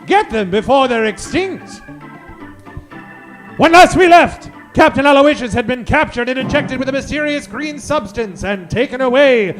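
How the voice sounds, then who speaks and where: A man's voice: extremely high pitch, a bit bright. A man narrating an old-time radio parody with theatrical announcer delivery.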